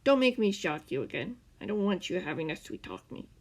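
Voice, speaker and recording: A woman doing a snapping, gruff male captor. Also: mid-range voice, very animated, fairly quick. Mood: angry. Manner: snapping, growling and loud.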